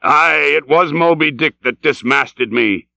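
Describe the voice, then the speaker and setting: Old whaling captain, audiobook, obsessed, vengeful, commanding, archaic declamation, high-pitched, forceful. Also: male voice, a little slow, creaky, dry recording, lo-fi sound, exaggerated voice. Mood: fierce excitement. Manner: vehement, archaic, declamatory speech.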